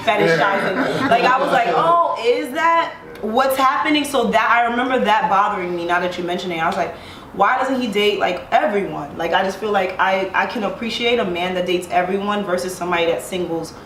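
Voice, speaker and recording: A woman's voice: mid-range voice, expressive, brisk, fairly bright tone. A woman in her thirties from Brooklyn, of Haitian family, telling personal stories in casual chat, full of "like" and laughter. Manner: chatty, fills her talk with "like", laughs as she talks. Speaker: panel guest. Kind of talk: casual chat, candid storytelling. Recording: roomy sound, mic at a distance, faint noise.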